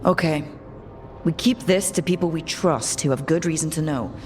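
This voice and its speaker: A woman's voice: low-pitched, normal speed, a little mellow, a little hiss or hum, close to an everyday voice. A woman voicing a compassionate, level-headed leader of a fantasy band in a video game cutscene. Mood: measured, practical.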